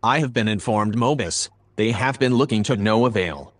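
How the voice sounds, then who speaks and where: An actor playing a composed analyst who reports events in a measured, formal way in a sci-fi audio drama. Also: male voice, on the low side, lightly acted. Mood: measured, grave. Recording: clear background noise and ambience.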